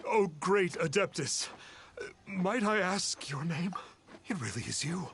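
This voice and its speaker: A man voicing the pompous, excitable ghost of a fraudulent young exorcist in a video game, with florid, theatrical delivery. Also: sits high, slow, background noise, exaggerated voice. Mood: awed, fawning. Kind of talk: video game character acting. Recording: game music behind.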